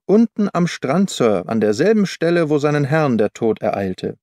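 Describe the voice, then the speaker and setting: A man's voice: fairly high pitch, sing-song, normal speed, a little mellow, no room sound, studio quality. A man voicing an old, gossipy, sorrowful housekeeper woman in an audiobook. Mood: sorrowful gossip. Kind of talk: audiobook character voice.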